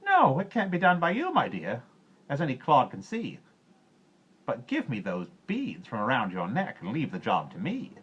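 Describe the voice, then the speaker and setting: A man's voice: mid-range voice, huge pitch swings, moderate pace, a bit bright, slightly rough, lo-fi sound, voice pushed up, exaggerated voice. A man voicing a bizarre little storybook gnome who mocks, wheedles and chants in sing-song rhymes. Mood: wheedling. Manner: sing-song, roaring and crooning with nonsense rhymes.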